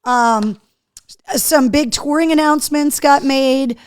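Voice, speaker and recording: A woman hosting a live show in casual chat, her voice hoarse, chatty and loose. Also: sits high, unhurried. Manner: chatty, talks to her dog between remarks. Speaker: host.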